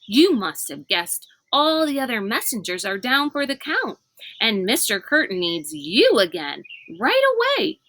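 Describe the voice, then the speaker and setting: A woman's voice: fairly high pitch, big pitch swings, a little slow, a bit bright, a little hiss or hum. A woman voicing a cheerful, dim-witted, chatty male school minder in a children's storybook, breezy and guileless. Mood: brisk, chirpy. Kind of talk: children's storybook read aloud.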